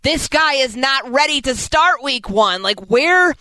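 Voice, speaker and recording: A woman's voice: sits high, animated, unhurried, pushed and bright, no echo. A woman hosting a sports radio show, delivering opinions and promos with emphatic energy into a close studio mic. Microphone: close studio broadcast microphone.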